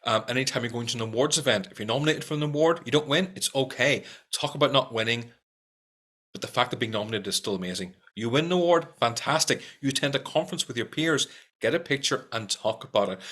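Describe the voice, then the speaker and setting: Bright-voiced Irish man giving tips. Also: medium pitch. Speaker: sales trainer. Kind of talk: instructional talk to camera.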